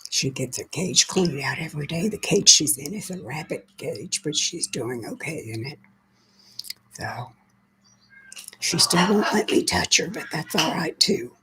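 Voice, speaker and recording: Woman, voice mostly gone, whispery, bright sharp tone, chatty livestream host. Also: low-pitched, a little hiss or hum, low-quality recording. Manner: chatty, greets viewers by name as she talks. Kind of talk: casual live chat with viewers.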